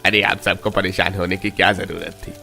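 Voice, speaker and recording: A man's voice: deep voice, little pitch movement, brisk, gravelly, sharp tone, soft background music, exaggerated voice. A man voicing a kindly, reassuring wealthy village merchant in a cartoon story. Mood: pleased, kindly.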